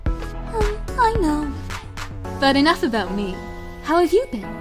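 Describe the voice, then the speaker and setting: Woman acting gushing high-pitched glamorous flirt. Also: very animated. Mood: flirty, teasing. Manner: effusive, teasing, drawn-out flourishes. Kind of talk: audio drama acting. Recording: music under the voice.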